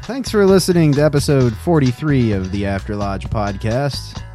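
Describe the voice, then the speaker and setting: A man's voice: mid-range voice, normal speed, dark tone, faint music behind. An American man co-hosting a casual podcast with a dry, wry, needling way of talking. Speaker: podcast co-host.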